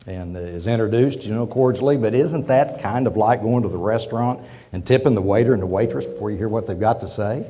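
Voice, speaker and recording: Man with a cold, mellow folksy speaker. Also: medium pitch, husky. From Oklahoma. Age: 50s. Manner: rambling, conversational, frequent "okay?" asides. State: losing his voice from a cold. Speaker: guest lecturer, businessman. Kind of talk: folksy public lecture. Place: university auditorium.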